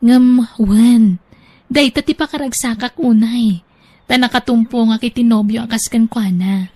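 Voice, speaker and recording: A woman's voice: on the high side, unhurried, mellow, no echo. A woman playing a sweet, sincere young woman in love in a radio drama, speaking sentimentally with a Filipino accent. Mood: happy.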